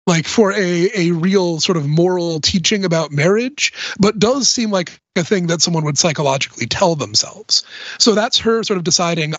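Guy w a slightly high voice on a movie podcast, analytical, says like a lot, bit muffled recording. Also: no echo. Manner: analytical, hedging with frequent "like" and "sort of". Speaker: podcast panelist discussing a film. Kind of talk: casual discussion.

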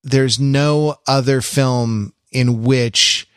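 A man's voice: mid-range voice, steady tone, very slow, smooth, dry recording, clean studio sound. A man co-hosting a podcast, chatting casually in a wry, digressive way as he thinks aloud. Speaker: podcast co-host. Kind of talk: casual pop-culture discussion.

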